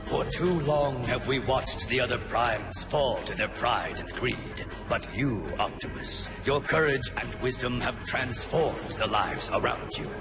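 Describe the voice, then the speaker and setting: A man's voice: medium pitch, animated, measured pace, few pauses, loud music behind the voice, close to an everyday voice. A man voicing an ancient, wise robot elder with a stately, ceremonial manner. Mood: grave, urgent.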